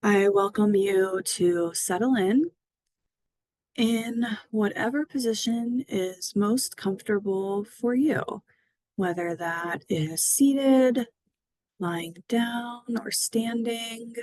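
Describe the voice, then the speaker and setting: A woman guiding a mindfulness body scan meditation, speaking gently and soothingly with long pauses. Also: medium pitch, no echo. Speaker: mindfulness guide.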